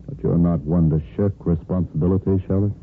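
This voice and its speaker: An actor playing a kindly older hospital chief of staff in a 1950s radio soap, reassuring and paternal. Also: male voice, low-pitched, fairly even intonation, a little fast. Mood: concerned. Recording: muffled, noisy 1950s radio recording.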